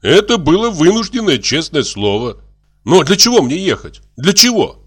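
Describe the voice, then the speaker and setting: An irritable, reluctant country doctor, acted by a man reading a story. He grumbles and protests in an exasperated, lively voice with a sharp edge. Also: medium pitch, moderate pace, soft background music, voice pushed up. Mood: peevish. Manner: complaining, exasperated.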